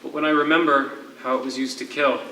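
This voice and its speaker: Anguished jailed poet played by a man, theatrical, deliberate and broken by grief. Distant mic, noisy recording. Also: medium pitch, flat delivery, pushed and bright. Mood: haunted grief. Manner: deliberate, drawn-out phrasing with dramatic pauses. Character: anguished imprisoned poet, a grieving, defeated widower. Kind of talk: theatrical stage acting, dramatic monologue. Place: theatre stage, miked from a distance. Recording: echoey, noisy stage recording from far off.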